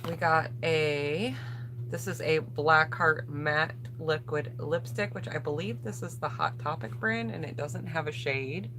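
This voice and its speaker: A woman's voice: fairly low pitch, noisy background. A woman chatting casually on a livestream as a beauty vlogger, thinking aloud and addressing viewers directly as she presents products.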